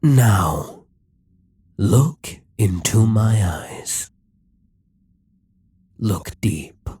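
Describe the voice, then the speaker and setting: Guy doing a possessive demon king in an audio roleplay, low breathy voice, super slow, coaxing and whispery. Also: animated, warm tone, no echo, heavily acted. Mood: hypnotic. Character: possessive scheming male demon king, calm and ruthless.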